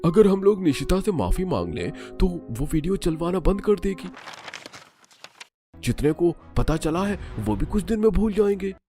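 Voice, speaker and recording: A man's voice: high-pitched, very animated, moderate pace, mellow, soft background music. A man playing a calculating, persuasive shopkeeper in a cartoon. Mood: scheming. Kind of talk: scripted cartoon dialogue.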